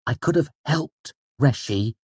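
A man's voice: a little high, lively intonation, moderate pace, darkish tone, dry recording, voice pushed up. A man voicing an indignant, theatrical young male fae creature, fussy and haughty, for a storybook. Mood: injured.

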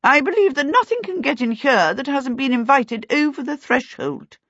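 Woman acting a haughty, high-pitched storybook aunt. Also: expressive, normal speed, fairly bright tone, no room sound, lo-fi sound. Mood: confident. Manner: haughty, commanding, formal. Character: stately, imperious aunt, brisk and disdainful.

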